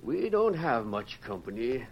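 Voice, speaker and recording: An actor playing a kindly old Scottish falconer with a thick accent, in 1940s radio acting style. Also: male voice, mid-range voice, sing-song, a little slow. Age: older. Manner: hearty, burring, fatherly. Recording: dull old radio recording with faint hiss.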